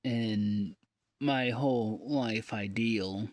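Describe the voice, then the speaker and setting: A man recording a rambling, confessional audio diary in a halting, downbeat, informal way with long pauses. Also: on the low side, no room sound. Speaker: audio diarist.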